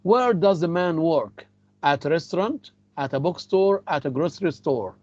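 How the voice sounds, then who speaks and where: A man's voice: a little high, lively intonation, normal speed, darkish tone, no room sound, muffled recording. A man with an Egyptian accent teaching an English class, repeating phrases and mixing in Arabic. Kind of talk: classroom lecture.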